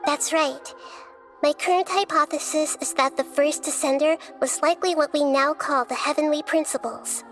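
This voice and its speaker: A woman voicing a gentle, thoughtful young-seeming goddess of wisdom in a game cutscene, explaining things calmly and carefully. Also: high-pitched, faint music behind, lightly acted. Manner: calm, measured, explanatory.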